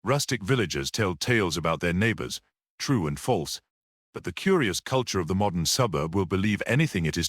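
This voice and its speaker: A man reading a literary short story aloud as an audiobook narrator in an expressive narrating style. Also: fairly low pitch, dry recording, clean studio sound.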